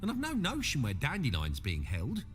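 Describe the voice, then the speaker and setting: An actor playing an anxious, hesitant shapeshifter in a video game, fretful and full of questions. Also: male voice, medium pitch, wildly swooping pitch, normal speed. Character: anxious, hesitant male shapeshifter, meek and excitable. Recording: reverberant, with music behind.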